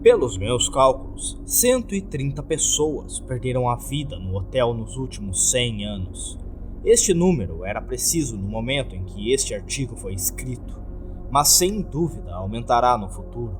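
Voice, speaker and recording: A Brazilian man voicing a gloomy, courteous hotel keeper in a formal, measured, scripted horror narration. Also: mid-range voice, sing-song, close to an everyday voice. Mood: ominous, formal. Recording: music under the voice.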